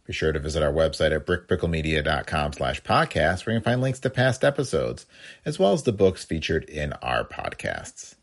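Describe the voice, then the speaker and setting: Very deep, husky American podcast host. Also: male voice, sing-song, normal speed. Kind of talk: scripted narration.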